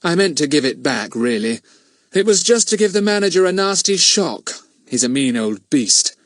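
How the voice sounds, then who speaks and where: A man's voice: a little high, very animated, normal speed, no echo, clean studio sound, voice pushed up. A man voicing a cornered male culprit, resentful and confessing, in a storybook reading. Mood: defensive.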